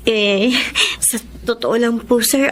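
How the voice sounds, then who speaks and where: High-voiced young Filipino saleslady. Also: steady tone, slow. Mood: flustered, apologetic. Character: young saleslady, earnest, proud but apologetic, overworked. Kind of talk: Filipino radio drama acting and first-person narration. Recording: music under the voice.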